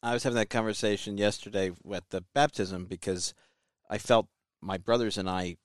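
A man's voice: on the low side, steady tone, moderate pace, no room sound, studio quality. A man hosting a podcast, leading the talk with conversational questions. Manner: conversational interviewer, leads with questions. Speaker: podcast host.

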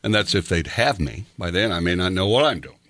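A man's voice: sits low, animated, brisk, fairly bright tone, dry recording. A man in his sixties chatting casually as a radio host, rambling with lots of fillers and chuckles. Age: 60s. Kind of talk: casual chat.

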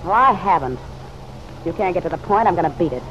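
A woman's voice: lowish voice, animated, quick pace, close to an everyday voice. A woman playing a defiant, working-class American woman with a curt, slangy, sardonic way of talking, in an old-time radio drama. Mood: sardonic, impatient. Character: hard-boiled, sharp-tongued working-class woman, defiant. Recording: muffled vintage radio, faint noise.

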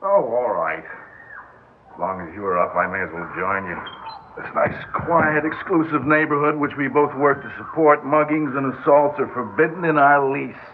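A man's voice: mid-range voice, normal speed, close to an everyday voice. A man playing an irritable, dismissive husband in an old-time radio drama, with a wry, exasperated manner. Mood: sleepy irritation. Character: irritable, dismissive husband who avoids getting involved. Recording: tinny, noisy vintage radio broadcast.

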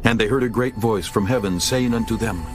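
A man reads scripture as a dramatized audiobook narrator. His voice is on the low side, solemn and measured. Music plays clearly behind him.

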